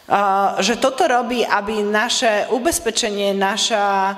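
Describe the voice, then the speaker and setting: A woman in her thirties interpreting a sermon phrase by phrase in a measured way. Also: mid-range voice, steady tone. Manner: measured, follows each phrase steadily. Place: church hall. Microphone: stand mic. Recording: reverberant church hall.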